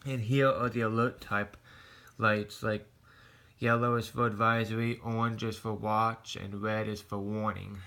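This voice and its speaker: An American man giving a casual show-and-tell in a halting, rambling, plain-spoken way. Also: lowish voice, flat delivery, pushed and bright, a little hiss or hum. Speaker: hobbyist vlogger showing a radio collection.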